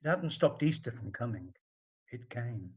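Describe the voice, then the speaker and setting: A man narrating a rhyming story in an expressive, dramatic storybook style with deliberate phrasing. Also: medium pitch, breathy edge, highs cut off.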